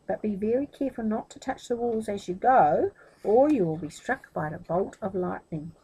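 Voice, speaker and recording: A woman's voice: medium pitch, expressive, moderate pace, warm tone, slightly rough, rough recording. A woman voicing a scheming, greedy male magician who instructs and insists, in a storybook reading. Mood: coaxing, warning.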